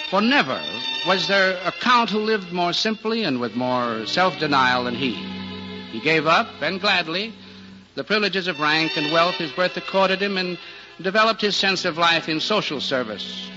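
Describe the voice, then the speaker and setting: A male announcer tells a story in formal, measured 1940s radio style. His voice is pitched fairly high, with music clearly playing behind him on a dull vintage recording. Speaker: narrator and announcer. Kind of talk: scripted 1940s radio drama narration.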